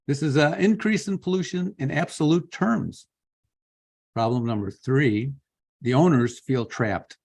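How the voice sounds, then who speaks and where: A man reading earnest, measured testimony at a public hearing over a video call. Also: fairly high pitch, a little mellow. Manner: earnest, measured, spells out his name slowly. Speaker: public commenter.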